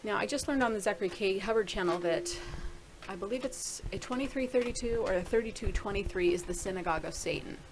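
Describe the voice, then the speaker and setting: A woman's voice: mid-range voice, quick pace, noisy background. A middle-aged woman casually talking through a screen-share video blog, rambling and thinking aloud with many restarts. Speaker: video blogger.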